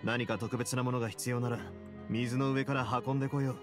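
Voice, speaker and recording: A man playing a cool, brooding young fortress warden in a game, speaking in a measured, grave, self-possessed way. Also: mid-range voice, flat delivery, music in the background, close to an everyday voice. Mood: calm, accommodating. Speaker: game character. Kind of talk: dramatic game dialogue.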